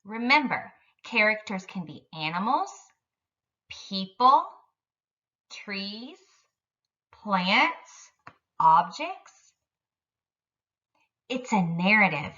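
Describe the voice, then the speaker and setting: A woman's voice: mid-range voice, very animated, drawn-out words, long pauses, pushed and bright, far from the mic, dull audio. A woman elementary school teacher giving an instructional lesson to young children in careful, simple phrasing.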